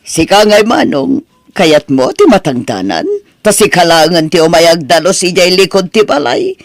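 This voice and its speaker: A man's voice: fairly high pitch, expressive, normal speed, no echo, clean studio sound. A man playing a gossipy, scornful rural relative in an audio family drama, chatty and mocking. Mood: nagging, chatty. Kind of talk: Ilocano radio-style drama acting.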